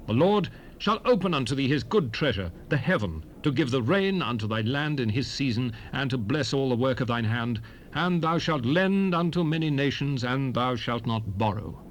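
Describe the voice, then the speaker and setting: A man playing a solemn prophet, reciting scripture in a measured, declamatory way for a dramatized Bible recording. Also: medium pitch, lightly acted. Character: solemn male prophet proclaiming blessings and curses. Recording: hissy 1970s cassette recording.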